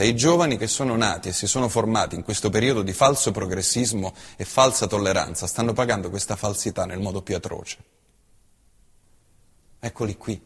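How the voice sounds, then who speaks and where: Husky man declaiming in a reverberant theater. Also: mid-range voice. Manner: impassioned, declamatory, swinging from hushed to forceful. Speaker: stage actor. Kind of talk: theatrical monologue. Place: theater stage.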